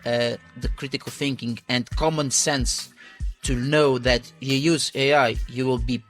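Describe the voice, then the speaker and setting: A man's voice: medium pitch, steady tone, a little slow, fairly bright tone, faint music behind. Hesitant man with a Portuguese accent. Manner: hesitant, searching for words, many restarts. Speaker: podcast host. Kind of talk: casual interview talk.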